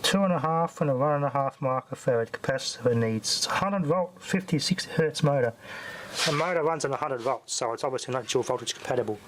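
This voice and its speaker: A man's voice: mid-range voice, fairly quick, slight background noise, rough recording. A man giving casual, off-the-cuff repair commentary as a hobbyist tinkerer, muttering to himself in short fragments as he thinks aloud.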